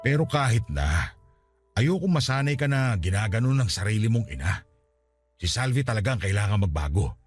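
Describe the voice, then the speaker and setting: A man's voice: fairly low pitch, sing-song, fairly quick, soft background music. An actor playing an ailing middle-aged Filipino father and former seaman, tender and wounded, speaking earnestly in a radio drama. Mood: hurt, sorrowful. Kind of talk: Tagalog radio drama acting.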